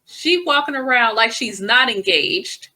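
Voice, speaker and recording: High, bright woman reacting skeptically. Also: animated, a little slow, low-quality recording. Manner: skeptical, chatty. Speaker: reaction channel co-host. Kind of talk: casual reaction commentary.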